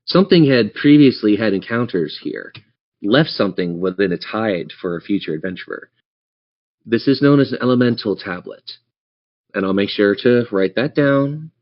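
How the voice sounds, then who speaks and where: A man's voice: on the low side, animated, moderate pace. A man narrating a tabletop game as game master in a casual, improvised way. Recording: dull lo-fi band-limited audio.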